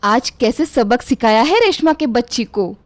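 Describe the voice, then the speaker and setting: A woman playing a dramatic, scheming, complaining Indian mother-in-law in an animated family story. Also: fairly high pitch, a little slow, no room sound. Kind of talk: animated Hindi moral story dialogue.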